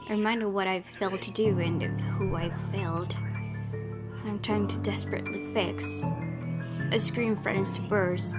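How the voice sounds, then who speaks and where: A woman hosting a gaming video, reading a visual novel aloud dramatically and reacting with open emotion. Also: medium pitch. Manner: expressive, exclaiming at the game.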